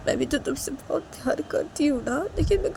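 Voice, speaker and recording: Woman playing a remorseful, soft-voiced soothing lover. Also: high voice, expressive, brisk, creaky, a little hiss or hum, voice pushed up. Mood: tender, earnest. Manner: soft, insistent, repetitive reassurance. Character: remorseful, tender lover soothing and promising never again.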